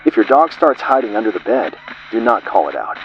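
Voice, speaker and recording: A man with a fairly low voice narrates horror in grave, ominous, clipped phrases. His pitch swings widely as he leans on single words. The sound is dull and lo-fi, with a faint hiss behind it. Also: big pitch swings, brisk. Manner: ominous, grave delivery in short clipped fragments.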